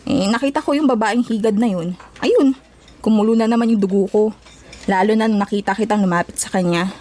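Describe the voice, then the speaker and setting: A woman's voice: medium pitch, brisk, a little mellow, lightly acted. An actress playing a feisty, brash young Filipino woman with a blunt, slangy delivery in an audio drama. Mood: teasing, animated. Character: feisty, brash young woman, combative but loyal friend.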